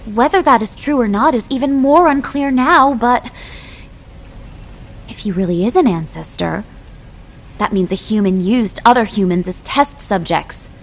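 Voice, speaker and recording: A woman playing an earnest, principled young military aide in a video game cutscene, speaking in a crisp, articulate manner. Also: a little high, measured pace. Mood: indignant.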